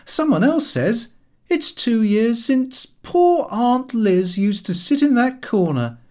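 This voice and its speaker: Man acting a loud, high-pitched, jeering young man. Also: big pitch swings, takes it slowly, exaggerated voice. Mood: scornful ranting. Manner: mocking, declamatory.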